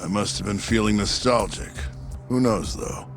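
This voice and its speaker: A man's voice: on the low side, moderate pace, gravelly, over music. A man playing a hot-tempered, defiant yakuza in a game cutscene, blunt, sneering and evasive. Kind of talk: video game cutscene acting.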